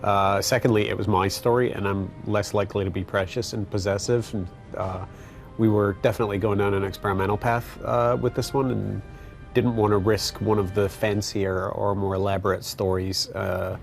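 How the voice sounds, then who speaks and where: Male writer in interview, deep and creaky, light music underneath. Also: level tone, normal speed. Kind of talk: behind-the-scenes interview.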